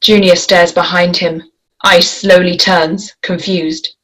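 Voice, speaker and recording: A woman narrates a script at a table read, delivering the stage directions. Her voice sits a little lower than average. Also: little pitch movement, slow pace, a bit bright, low-quality recording.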